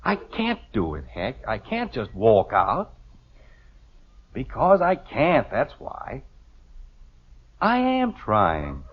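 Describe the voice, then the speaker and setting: A man's voice: medium pitch, wildly swooping pitch, slow, pauses often, slightly rough, exaggerated voice. An actor playing a middle-aged American husband and father, hesitant, stammering and blustering, in an old-time radio comedy. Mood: harried. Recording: muffled, noisy vintage radio recording.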